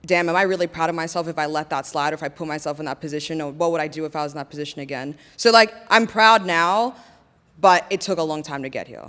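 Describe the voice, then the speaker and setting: A woman's voice: deep voice, quick pace, fairly bright tone. A young woman sharing her views in a casual panel discussion, talking reflectively with lots of "like" fillers. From Australia. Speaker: panel guest.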